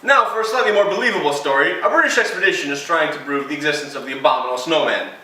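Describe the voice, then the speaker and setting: A man's voice: a little high, rapid-fire, sharp tone, slightly rough, rough recording. A young man reading a scripted parody of a 1950s newscast as the anchor. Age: young adult. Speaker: news anchor.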